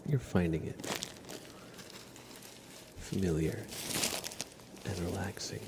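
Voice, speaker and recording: A man speaking gently and softly, at times whispering, as an ASMR livestreamer guiding a relaxing scene. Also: low voice. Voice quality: soft, partly whispered. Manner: gentle, unhurried, casual. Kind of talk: ASMR, soft relaxing talk and guided visualization. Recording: clear crinkling and ambient noise.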